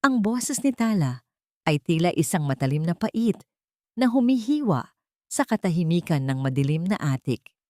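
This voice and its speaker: Low-voiced woman narrating a drama. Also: big pitch swings, a little mellow, no room sound, clean studio sound. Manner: dramatic, deliberate storytelling. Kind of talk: scripted dramatic story narration.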